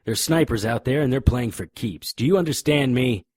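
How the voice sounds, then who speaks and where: A man's voice: medium pitch, lively intonation, moderate pace, a little mellow, breathy edge, dry recording, studio quality. A man playing a tough, sardonic private investigator in a noir radio drama, both narrating and speaking in scenes. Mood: urgent. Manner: hard-boiled, sardonic. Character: tough, defiant, wry male private investigator. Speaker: lead actor and narrator.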